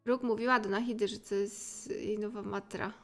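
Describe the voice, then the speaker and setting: A woman's voice: medium pitch, steady tone, brisk, fairly bright tone. A Polish-accented woman streamer giving casual, chatty commentary over a game. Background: game music.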